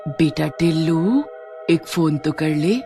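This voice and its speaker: A woman's voice: low-pitched, takes it slowly, mellow, faint music behind, pitched below the performer's own voice. A woman voicing a cunning, menacing witch from a horror story, taunting and commanding. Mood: luring. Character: menacing, cunning female witch haunting a phone booth. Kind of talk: Hindi animated horror story acting.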